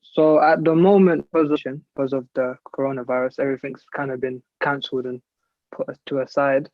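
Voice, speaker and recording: A man's voice: mid-range voice, steady tone, brisk, no room sound. A young man, talking casually as an interview guest on an internet call. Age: 17, late teens. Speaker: guest, young martial artist. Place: video call. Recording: slightly dull internet call audio.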